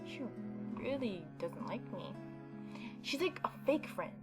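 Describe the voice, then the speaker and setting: A woman's voice: fairly high pitch, very animated, a little slow. A woman hosting a gaming video, reading a visual novel aloud and adding informal, opinionated commentary. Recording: background game music behind voice.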